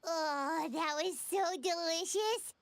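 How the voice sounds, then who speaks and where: A woman voicing a tiny, excitable, food-loving fairy companion in a video game, with a childlike squeaky voice. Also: takes it slowly, dry recording, full character voice. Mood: eager, hungry. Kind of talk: video game character acting. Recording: game music behind the voice.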